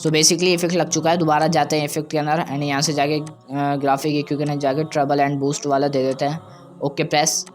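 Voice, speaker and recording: Even, higher-pitched man giving a tutorial. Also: a little fast, soft background music. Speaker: tutorial host. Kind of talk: casual step-by-step software tutorial.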